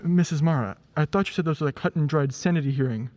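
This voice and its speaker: A man's voice: fairly high pitch, fast talker, in a large hall, music in the background, natural voice. A man playing a stern, impatient courtroom judge in a radio play, formal and pompous in manner. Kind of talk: stage-style radio play acting.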